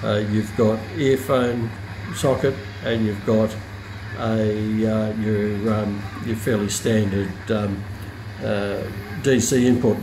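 A man giving an informal, unscripted product demonstration as a hobbyist reviewer, often pausing mid-sentence as he explains features. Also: lowish voice, fairly even intonation. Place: indoor room with hard countertop. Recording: roomy echo, clear background noise.

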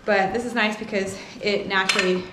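A young woman giving a casual hands-on hoof trimming tutorial, thinking aloud in short, halting phrases as she works in a barn. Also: mid-range voice, fairly even intonation. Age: young adult. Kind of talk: casual hands-on demonstration talk. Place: indoor barn with concrete floor. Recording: clear rasping and clattering noise. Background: filing, scraping and clatter of tools.

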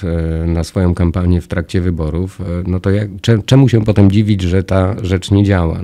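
A man's voice: sits low, dark tone. A middle-aged man hosting a radio interview, asking long, rambling questions full of comparisons, at a studio desk mic in a small radio studio. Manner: long, discursive questions with analogies. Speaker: radio host. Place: small radio studio with orange acoustic panels. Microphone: studio mic on a desk arm, wearing headphones.

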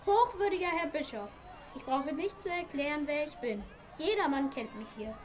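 A woman's voice: high voice, expressive, normal speed, a faint crowd. A woman playing a proud, indignant wealthy merchant father in an amateur radio play, declaiming emphatically and with grievance.

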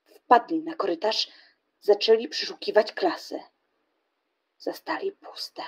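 A woman's voice: mid-range voice, slow, breathy edge. A woman giving dramatic scripted narration as the narrator of a radio play.

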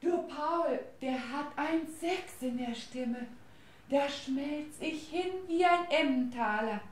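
A woman's voice: high voice, slow. A woman playing a gushing, easily exasperated wife in a comic radio play. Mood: swooning. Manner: gushing, dramatic, scolding. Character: swooning, exasperated wife besotted with TV singer. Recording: old radio play with music behind.

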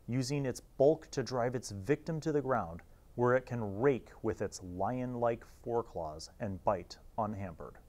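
A man, hosting a casual review in an informal, halting way and sometimes reading aloud. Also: medium pitch, darkish tone. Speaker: host reviewing old gaming magazines.

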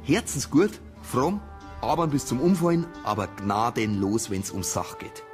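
An actor playing a dogged, suspicious police detective in a radio crime drama, speaking colloquially and insistently with a Bavarian accent. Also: male voice, medium pitch, very animated, measured pace, darkish tone. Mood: brooding, insistent. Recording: music behind the voice.

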